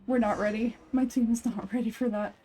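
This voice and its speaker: A woman chats casually in the middle of playing a game. Her voice is mellow and relaxed, with faint music playing behind it. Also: fairly high pitch, a little fast. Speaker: amateur voice actor streaming a game. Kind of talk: casual chat while playing.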